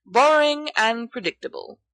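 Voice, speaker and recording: A woman voicing an anxious, irritable, bookish teenage schoolboy who talks in a halting, exasperated way. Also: fairly high pitch, lively intonation, a bit bright, no echo, voice pushed up.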